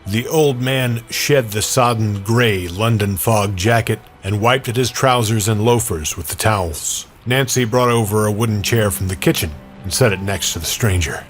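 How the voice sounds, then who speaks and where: Male narrator for audio fiction, lower register, scripted first-person telling, music bed. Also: moderate pace, hardly any pauses. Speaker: narrator and performer. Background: original music score.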